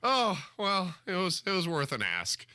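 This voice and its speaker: Sarcastic radio host with a cold. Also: male voice, high voice, huge pitch swings, a little slow, pushed and bright, no room sound. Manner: exasperated, sarcastic, dramatic asides. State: fighting a cold, a little scratchy. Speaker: radio talk show host. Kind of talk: casual on-air storytelling. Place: radio studio.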